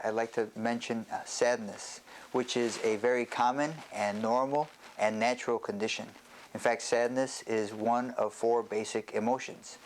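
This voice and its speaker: A man speaking as a psychiatrist presenting an educational health talk, explaining in a measured way with hesitant pauses. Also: on the low side, slight background noise, low-quality recording.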